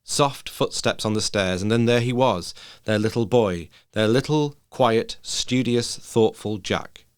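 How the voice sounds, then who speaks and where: A man narrating a literary ghost story in a measured, hushed manner of short phrases. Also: on the low side, clear, resonant tone, no room sound, studio quality.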